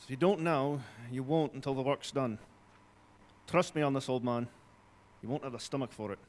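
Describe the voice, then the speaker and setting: An actor playing a chummy, brisk gentleman assistant in a live audio drama, with hearty old-chap chattiness. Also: medium pitch, lightly acted. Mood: urgent, insistent.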